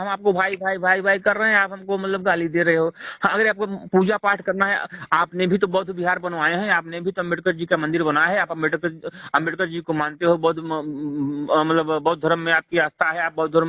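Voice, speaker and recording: A man's voice: sits high, no echo. A man on a phone call, arguing in an insistent, lecturing manner. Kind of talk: heated argument on a phone call. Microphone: phone line. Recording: tinny phone-call recording.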